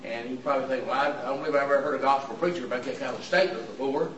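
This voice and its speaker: A visiting preacher, his voice hoarse and raspy from throat trouble, delivering an earnest sermon in a halting way with frequent "uh" fillers. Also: medium pitch, lo-fi sound. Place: church auditorium. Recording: echoey hall from far off with room noise.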